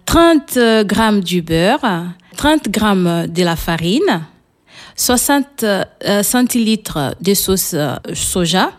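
A woman with a Portuguese accent, a native Portuguese speaker, talking as a guest cook in a radio interview and pausing often with fillers. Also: medium pitch, very animated. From São Tomé and Príncipe, raised in Portugal. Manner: hesitant, frequent pauses with fillers.

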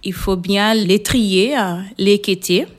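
Hesitant woman with a Portuguese accent. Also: mid-range voice. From São Tomé and Príncipe, raised in Portugal. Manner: hesitant, frequent pauses with fillers. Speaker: guest cook. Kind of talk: radio interview.

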